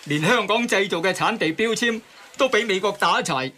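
An actor in a radio drama playing a talkative, pompous taxi driver with a Hong Kong Cantonese accent, emphatically reeling off sayings. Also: male voice, high-pitched, lively intonation, normal speed, sharp tone, slight background noise. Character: talkative taxi driver who spouts proverbs.

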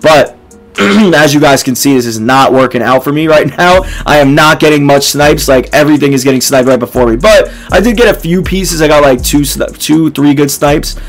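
Hoarse young man, laid-back gaming chat. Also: a little high, moderate pace. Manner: laid-back, filler-heavy, addresses viewers as boys. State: hoarse, voice nearly gone. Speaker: gaming YouTuber. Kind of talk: casual gameplay commentary. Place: small dark room indoors.

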